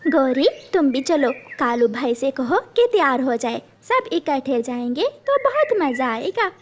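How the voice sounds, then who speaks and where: A woman voicing a caring mother bird in a children's cartoon, gentle and earnest. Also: sits high, big pitch swings, measured pace, slight background noise. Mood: friendly, earnest.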